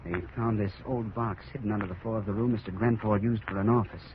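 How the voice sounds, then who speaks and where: A man's voice: lowish voice, fairly even intonation, quick pace, natural voice. A man playing a steadfast, decisive plantation hero in 1940s radio drama, speaking earnestly. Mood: sober, explaining. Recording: muffled old radio, clear hiss.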